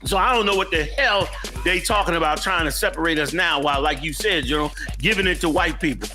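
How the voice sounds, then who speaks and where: A man's voice: a little high, fairly quick, pushed and bright, soft background music. A man from the Bronx, ranting emphatically as co-host in a casual commentary chat. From the Bronx, New York. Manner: heated, emphatic, preachy.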